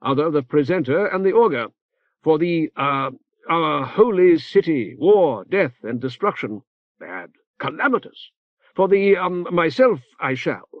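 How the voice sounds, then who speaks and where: Narrator doing a dithery old priest guy, higher voice, lots of eh and uh, dull dry audio. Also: sing-song, dry recording. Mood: grave. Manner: halting, full of "eh" and "uh", clipped phrases. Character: fussy elderly male cleric, rambling and hesitant.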